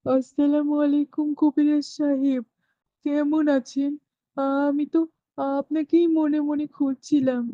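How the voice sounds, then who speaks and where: A woman's voice: sits high, little pitch movement, unhurried, dark tone, no echo. A woman voicing a poor, worried widowed farmer father who pleads for help in a cartoon. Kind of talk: cartoon voice acting. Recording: dull lo-fi dry dubbing.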